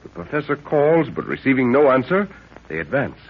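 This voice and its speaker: A man's voice: medium pitch, big pitch swings, brisk, husky. A man narrating a 1930s radio serial as announcer, in a portentous, theatrical scripted style. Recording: muffled, noisy 1930s radio recording.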